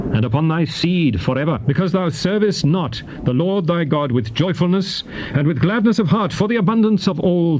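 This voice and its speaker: A man's voice: medium pitch, muffled recording, close to an everyday voice. Man acting a solemn prophet, noisy tape. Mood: stern warning. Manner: grave, declamatory, measured oratory. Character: Moses, solemn male prophet warning his people of curses. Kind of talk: dramatized scripture reading, formal archaic English. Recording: noisy old cassette tape transfer.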